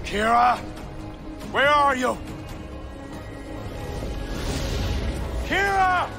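A man playing an anxious, embattled middle-aged medieval king with a gravelly voice, urgently calling out in a video game. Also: very high-pitched, expressive, takes it slowly, music in the background, full character voice. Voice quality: gravelly, strained. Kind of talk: dramatic video game acting.

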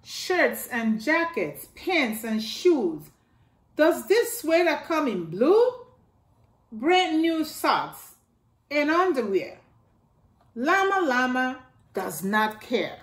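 A middle-aged woman reading a rhyming picture book aloud to children in a slow sing-song way, filmed indoors at home by a camera a few feet away. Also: sits high, very animated. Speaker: storytime reader. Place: living room by a staircase, indoors. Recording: echoey room.

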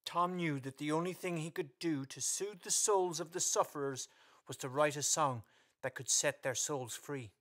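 A man's voice: fairly high pitch, moderate pace, a bit bright. A man reading scripted story narration for a radio play.

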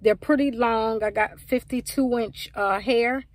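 A woman in her forties talking casually to her phone in a parked car, rambling and animated, gesturing with her hands. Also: a little high, unhurried, dry recording. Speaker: vlogger. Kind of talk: casual chatty vlog. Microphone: phone at arm's length.

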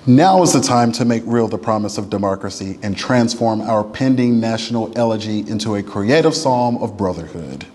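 A man reads a historic letter aloud in a measured, oratorical way, as a reader in a church. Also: lowish voice, darkish tone, a little hiss or hum. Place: church sanctuary. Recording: long reverb of a large room.

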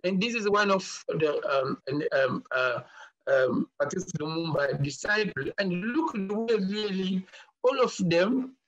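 A man with a fairly high voice gives a halting online talk, full of "uh" and restarts, and leans hard on his key points. The sound is dull and lo-fi, like a video call. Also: expressive, a bit bright. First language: Sakata. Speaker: guest speaker. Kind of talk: online lecture with slides.

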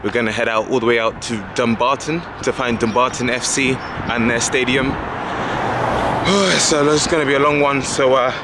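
A man's voice: mid-range voice, steady tone, normal speed. A young man in his twenties chatting casually and with enthusiasm as he films himself walking city streets, holding the camera at arm's length. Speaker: travel vlogger. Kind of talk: casual walking vlog narration. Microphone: handheld camera at arm's length. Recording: loud outdoor traffic noise. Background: loud street and traffic noise.

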